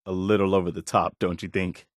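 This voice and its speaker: A male actor plays an earnest wasteland militia lieutenant with a lowish male voice, recorded close and dry. He speaks plainly and with principle, like a dutiful soldier in a video game. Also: level tone, a little fast, dry recording, natural voice. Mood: calm, wry. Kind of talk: video game character dialogue.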